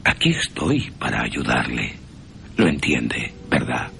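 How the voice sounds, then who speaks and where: Man acting a solemn, deliberate vampire-hunting professor. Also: rumbling low, sing-song, vocal fry, pushed and bright, slight background noise, voice pushed down, full character voice. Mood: courteous. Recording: music under the voice.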